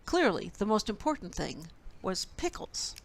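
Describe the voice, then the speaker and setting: A woman storyteller reading a children's picture book expressively, with deliberate, dramatic phrasing. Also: medium pitch, very animated.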